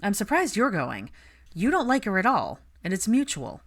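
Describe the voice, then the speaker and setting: A woman's voice: medium pitch, huge pitch swings, normal speed, voice pushed up. Lady narrator doing easygoing young husband in an audiobook, kinda clueless, mild n reasonable. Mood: wry.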